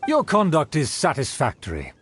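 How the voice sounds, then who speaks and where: An actor playing a pompous, haughty aristocrat in a video game, speaking in a formal, condescending, grandiose manner. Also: male voice, on the high side, huge pitch swings, moderate pace, fairly bright tone, slight background noise. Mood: impressed, condescending. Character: pompous, haughty male aristocrat obsessed with noble etiquette. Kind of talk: theatrical video game character dialogue.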